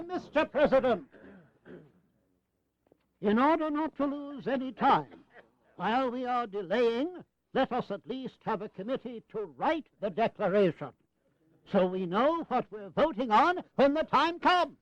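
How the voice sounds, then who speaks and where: Very high-voiced elder statesman. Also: expressive, a little slow, no echo. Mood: practical, sly. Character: sly, practical elder statesman. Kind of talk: dramatized historical audio acting. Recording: dull, close 1980s drama recording.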